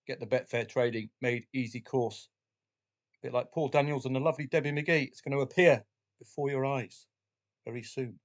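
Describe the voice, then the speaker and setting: A middle-aged man who has the flu talks through his betting results in a relaxed, casual way. His voice is deep and husky from the illness. He fills pauses with "uh" and drops in jokey asides. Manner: chatty, jokey asides, frequent "uh" fillers. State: has the flu, chesty cough, voice deeper than usual. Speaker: betting channel host. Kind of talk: casual talk to camera about betting.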